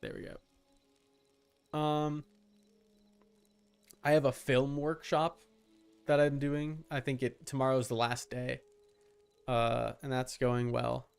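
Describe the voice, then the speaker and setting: A young adult man chatting casually as he streams a game, rambling and halting with repeated words. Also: mid-range voice, completely flat delivery, creaky, clean tone, soft background music. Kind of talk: casual livestream chat while gaming.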